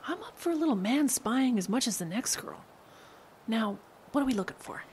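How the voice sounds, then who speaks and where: A sarcastic radio drama sidekick, teasing and quickly exasperated. Her voice is fairly high and very expressive, with a dry bite and slight background noise. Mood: hushed, teasing. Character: sardonic, teasing woman, exasperated with her slow ex. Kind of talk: audio drama acting.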